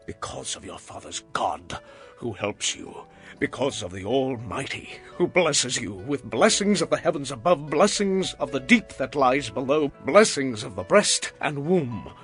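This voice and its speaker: An aged, dying patriarch in a scripture drama, with a bright voice that swings between hushed gravity and grand declamation. He addresses his sons solemnly over faint music. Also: medium pitch.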